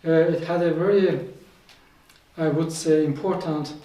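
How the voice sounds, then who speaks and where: A man from Iran whose first language is Azerbaijani Turkish, a professor answering interview questions in a measured way with frequent fillers. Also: a little high, steady tone, dark tone. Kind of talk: conversational interview. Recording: echoey room, mic at a distance, faint noise.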